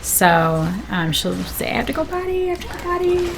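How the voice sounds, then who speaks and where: A middle-aged American woman chatting casually and animatedly about family life, quick to laugh. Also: high voice, sing-song, vocal fry, noisy background. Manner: chatty, laughs easily, quotes people animatedly. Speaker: guest on a sibling's game stream. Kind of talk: casual chat, telling family anecdotes.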